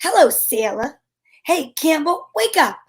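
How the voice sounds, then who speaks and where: Actress as sassy female private eye, high-pitched voice, wry slangy narration, self-assured, old-time radio mystery. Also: big pitch swings, a little slow. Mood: brisk, probing. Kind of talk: amateur old-time radio detective drama with first-person narration.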